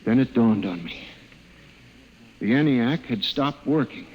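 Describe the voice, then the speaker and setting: A man's voice: mid-range voice, expressive, unhurried, lo-fi sound. An actor playing an anxious male office scientist narrating his descent into paranoia in an old-time radio drama, with a tense, melodramatic delivery. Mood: grave, dramatic. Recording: vintage radio drama with music underneath.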